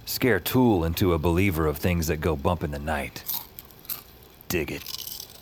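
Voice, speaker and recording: A man's voice: sits low, a little slow, slight background noise. An actor playing a weary, wry male emergency call-taker who narrates his troubles in a casual way in an audio drama. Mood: determined. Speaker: lead character and narrator. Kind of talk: noir-like first-person audio drama narration and dialogue.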